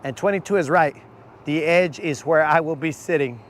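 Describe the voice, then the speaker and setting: A hoarse man chatting loosely to his viewers from a boat on a lake, his voice sitting a bit high for a man. His talk is slangy and easygoing, and he keeps calling people bro. Faint outdoor noise runs under him. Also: normal speed. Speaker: fishing channel host. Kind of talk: live-stream chat while fishing.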